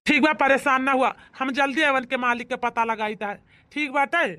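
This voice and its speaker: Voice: high-pitched, moderate pace, bright, forceful tone, dry recording. A performer voicing a protective, firm elder brother in a cartoon comedy, with an Awadhi accent and an emphatic delivery. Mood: reassuring. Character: protective married man, elder brother, firm problem-solver.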